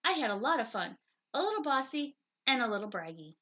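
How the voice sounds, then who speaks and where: A woman's voice: a little high, sing-song, fairly quick, pushed and bright, highs cut off, full character voice. A woman voicing an eager, snappy six-year-old girl bear cub in a picture book. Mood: chatty.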